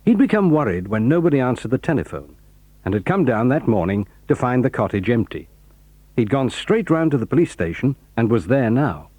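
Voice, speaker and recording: A man with a British accent narrating a children's adventure story in a measured, lively way. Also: mid-range voice, dry recording.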